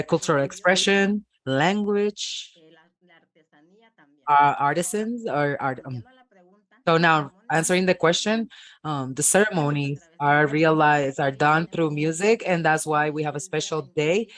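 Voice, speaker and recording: Halting live interpreter voice. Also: mid-range voice, a bit bright. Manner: halting, with frequent ums and restarts.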